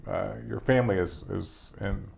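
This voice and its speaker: A male interview host with a lowish, gravelly voice stumbles through his questions, restarting often. A light hiss of background noise runs underneath. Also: a little mellow. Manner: halting, many restarts and fillers. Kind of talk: interview hosting.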